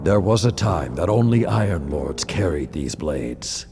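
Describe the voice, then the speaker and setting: Game warrior lord, older: gravelly, grave, battle-worn mentor, widely swinging intonation under loud orchestral-style game music. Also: male voice, deep voice, sing-song, full character voice. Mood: proud, ceremonial. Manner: grave, measured, ceremonial. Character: solemn, battle-worn older male warrior lord, honoring fallen comrades. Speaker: mentor and order leader. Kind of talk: cinematic video game acting.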